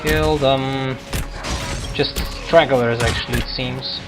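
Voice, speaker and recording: A man giving casual, halting gameplay commentary as he hosts a gaming video. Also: medium pitch, fairly even intonation. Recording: game music and battle noise behind. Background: video game music and combat sounds.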